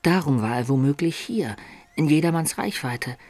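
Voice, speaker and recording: An actor playing a middle-aged adventurer who recounts his life in measured, literary radio drama narration. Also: on the high side, expressive, darkish tone, no echo, lightly acted. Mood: awed.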